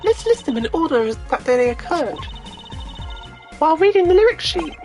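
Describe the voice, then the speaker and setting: A woman voicing an excitable, inquisitive teenage girl sidekick in a video game, speaking eagerly and emphatically. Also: sits high, normal speed, faint music behind, pitched above the performer's own voice. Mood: eager, insistent.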